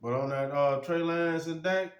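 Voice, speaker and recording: Sniffly sports-fan host in a roomy space. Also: male voice, on the high side, moderate pace. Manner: loose, slangy, swears freely. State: sniffly with a cold. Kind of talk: casual sports commentary. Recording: roomy sound with faint music.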